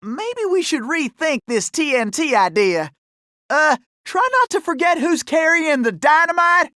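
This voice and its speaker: A man's voice: extremely high pitch, lively intonation, measured pace, sharp tone, no echo, clean studio sound, exaggerated voice. A man voicing a folksy, wisecracking cowboy gunslinger animal in a video game, with a Southern Old West drawl and colorful frontier slang. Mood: jittery, joking. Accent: American Old West Southern drawl. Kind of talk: video game character acting.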